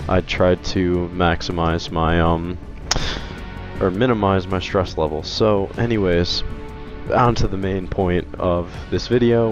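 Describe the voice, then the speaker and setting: Low-voiced young man, hoarse and rambling. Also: lo-fi sound. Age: young adult. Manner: rambling, hesitant, many restarts. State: hoarse from illness. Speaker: video game commentator. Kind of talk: casual opinion vlog.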